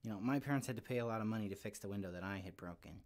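A man's voice: fairly low pitch, racing, a little mellow. A man voicing a friendly young puppet kid, speaking casually and earnestly as he gives a devotional for children. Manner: chatty, earnest, informal with frequent "like".

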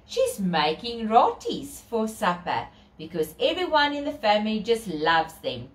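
A woman's voice: on the high side, huge pitch swings, unhurried. A middle-aged South African woman reading a picture book aloud to children, with theatrical, sing-song emphasis and chatty asides. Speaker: children's storyteller. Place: small bedroom, indoors. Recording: roomy echo from camera distance.

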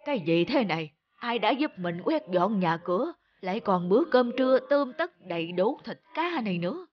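A woman's voice: mid-range voice, very animated, normal speed, lo-fi sound, lightly acted. Woman acting kind, plaintive old village granny. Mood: wondering, moved. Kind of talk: animated folk tale acting. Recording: music under the voice.

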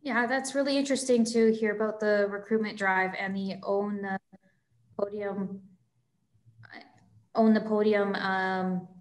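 A woman in her twenties moderates a virtual discussion from a library room over a webcam. She asks questions hesitantly, filling pauses with "like." Her voice sits at an average pitch. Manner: hesitant, with many "like" fillers. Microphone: video call webcam.

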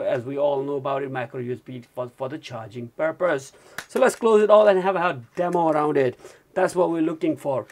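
Higher-pitched man reviewing a gadget. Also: darkish tone. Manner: informal, repeatedly addresses viewers as guys. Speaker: product reviewer. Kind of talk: hands-on gadget review demonstration. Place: indoors at a desk.

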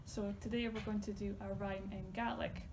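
A woman's voice: mid-range voice, level tone, moderate pace, noisy background. A woman teaching young children a Gaelic rhyme about the face, patiently naming each word and its meaning before chanting it. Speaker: library storytime leader. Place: library reading corner.

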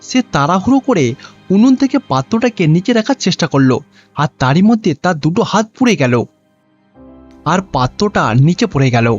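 A man's voice: high-pitched, very animated, brisk, lo-fi sound, faint music behind. A man reading a scripted cartoon story as narrator.

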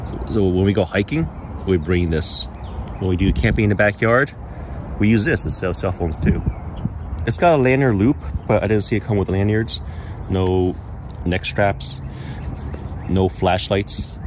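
A man's voice: deep voice, moderate pace, pauses often. A man giving a casual, matter-of-fact hands-on product review as the host of his channel. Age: adult parent of young children. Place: outdoors, patio table and residential sidewalk. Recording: clearly noisy outdoor recording, traffic hum.

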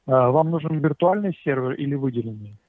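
A man's voice: mid-range voice, lively intonation, a little fast, no room sound. A man working as a sales agent on the phone, explaining offers in a hesitant, stammering way. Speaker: customer service sales agent. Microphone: telephone. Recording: muffled narrow phone line.

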